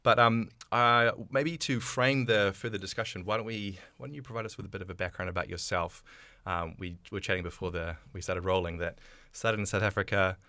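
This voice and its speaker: A man's voice: sits low, brisk, sharp tone, dry recording. A man hosting a podcast and interviewing a guest in a friendly, chatty way, with frequent "um"s. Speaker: podcast host. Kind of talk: podcast interview, casual chat.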